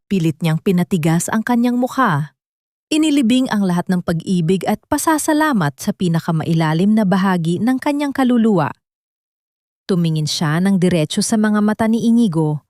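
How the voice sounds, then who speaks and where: A woman's voice: medium pitch, animated, moderate pace, darkish tone, no room sound. Dry-recorded woman narrating drama. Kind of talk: dramatic scripted story narration.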